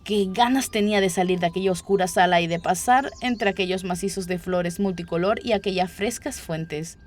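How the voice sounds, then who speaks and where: A woman's voice: fairly low pitch, moderate pace, slight background noise. A woman reading a children's story aloud as scripted audiobook narration. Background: background music.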